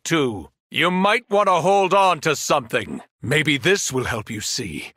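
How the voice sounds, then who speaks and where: An actor playing a corrupted superhero turned merciless tyrant in a video game, taunting and commanding with theatrical menace. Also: male voice, a little high, pushed and bright, no echo, clean studio sound, exaggerated voice. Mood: menacing, grandiose, then cold, merciless. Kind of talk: video game villain voice acting.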